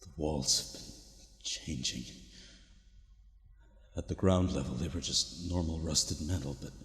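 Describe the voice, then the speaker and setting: A deep-voiced frightened, worn-out explorer in an audio drama, slowly losing his grip on reality. He speaks slowly and haltingly, haunted. The recording is noisy, with a long cavernous reverb. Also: fairly bright tone, heavily acted. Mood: uneasy, weary. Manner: halting, haunted, breaks into whispers. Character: weary, terrified male explorer slowly losing his mind.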